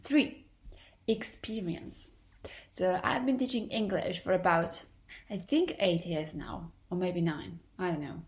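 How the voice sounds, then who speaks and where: A woman's voice: on the low side, measured pace, a little mellow. Polish woman hosting a lesson podcast. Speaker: English teacher and podcast host. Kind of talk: solo podcast talk.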